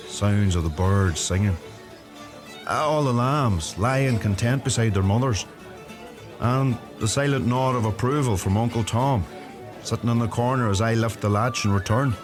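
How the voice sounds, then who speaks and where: Music plays under a low-pitched young Donegal farmer, played by a male actor in a radio drama. He is gentle, modest and reflective, speaking in an unhurried Donegal Irish accent. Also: natural voice. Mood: wistful. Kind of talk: radio play acting.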